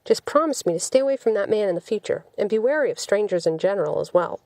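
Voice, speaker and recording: A woman's voice: medium pitch, lively intonation, quick pace, warm tone, dry recording, natural voice. A woman voicing an anxious, protective young man in an audiobook, with an earnest, pleading manner. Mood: earnest, worried.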